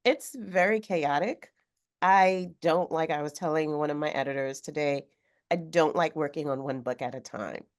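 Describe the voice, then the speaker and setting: A woman with a notably low voice speaks on a video call from her home. She is slightly hoarse from a cold and talks in a thoughtful, unhurried way with occasional fillers. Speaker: author guest. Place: home room with sewing machine. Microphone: video call webcam.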